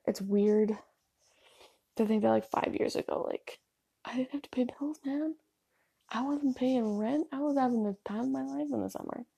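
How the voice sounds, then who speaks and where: A woman's voice: medium pitch, vocal fry, warm tone, breathy edge, no echo. A young woman from Canada with a stuffy nose, rambling casually at home with lots of fillers and apologies. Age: young adult. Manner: chatty, self-deprecating, filler-heavy with ums and likes.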